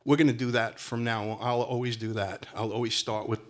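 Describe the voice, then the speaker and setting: A man's voice: medium pitch, flat delivery, a little fast. A middle-aged man with a Brooklyn accent, speaking as a panelist in a rambling, conversational way. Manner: rambling, conversational, many fillers. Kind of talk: panel discussion.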